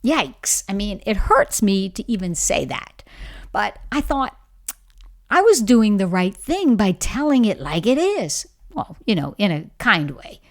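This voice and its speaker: A woman's voice: mid-range voice, very animated, unhurried. A middle-aged woman with a Bahamian accent giving an encouraging, emphatic motivational talk on leadership. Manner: warm, encouraging, emphatic storytelling. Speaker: leadership coach.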